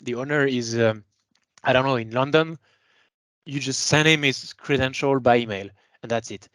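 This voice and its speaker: A man's voice: medium pitch, normal speed, fairly bright tone, no room sound. A man giving an explanatory product webinar as a sales presenter, talking informally and stressing his key words.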